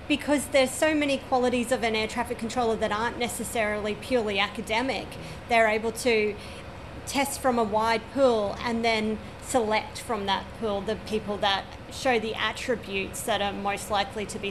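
A woman's voice: fairly high pitch, steady tone, moderate pace. A woman in her forties with an Australian accent, an air traffic controller giving detailed, matter-of-fact interview answers at a regional airport. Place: at a regional airport, overlooking the runway.

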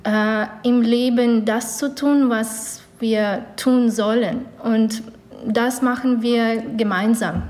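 A woman's voice: fairly high pitch, fairly even intonation, slow pace, a little mellow. A woman from Ukraine, raised in Canada, speaking German as a second language, hesitant with many fillers. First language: not German. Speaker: yoga teacher, guest. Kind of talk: interview.

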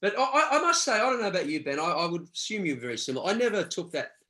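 A man's voice: high voice, big pitch swings, sharp tone. A man in his forties from Australia, a former rugby player, talking reflectively in a casual interview with frequent restarts. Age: 40s. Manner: reflective, halting with many restarts.

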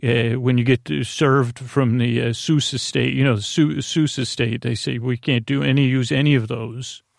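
A man hosting a sleep podcast, rambling in a whimsical, hesitant bedtime monologue with stammered false starts and a creaky, gravelly voice. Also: medium pitch, steady tone, moderate pace, hardly any pauses, dry recording, clean studio sound.

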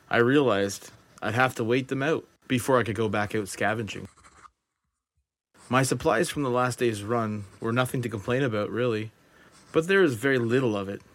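A man playing a wary, detached survivor of an apocalypse, narrating a journal in a deliberate, reflective audio drama style. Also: medium pitch, close to an everyday voice. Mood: uneasy, reflective. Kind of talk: scripted first-person dramatic narration.

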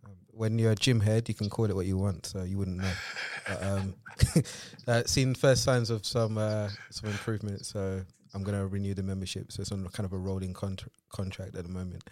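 A man's voice: low-pitched, little pitch movement, moderate pace, a little mellow, dry recording. A young man co-hosting a podcast, talking casually with plenty of hesitant fillers. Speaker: podcast co-host. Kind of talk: casual chat.

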